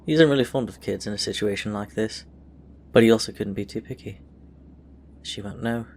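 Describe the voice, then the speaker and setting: A man's voice: lowish voice, fairly quick, darkish tone. A man reading a scripted story aloud as an audiobook-style narrator. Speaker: audiobook-style reader of fan fiction. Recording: clear background noise.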